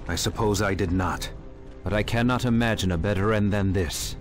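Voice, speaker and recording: Man playing a brave, low-voiced young space marine. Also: moderate pace, natural voice. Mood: resigned. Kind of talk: dramatic video game acting. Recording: music behind the voice.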